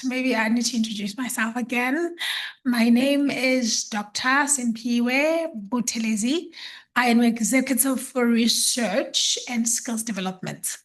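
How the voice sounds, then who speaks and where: A woman speaking confidently and emphatically as an executive on a forum panel. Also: fairly high pitch.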